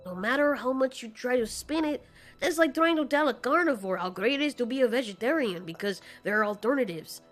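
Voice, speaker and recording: A woman's voice: fairly high pitch, lively intonation, brisk, lightly acted. Woman doing a cold fire demon monster guy, blunt n sarcastic, talks slangy, music behind. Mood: dismissive. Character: Rodan, cold blunt male fire demon pterosaur kaiju.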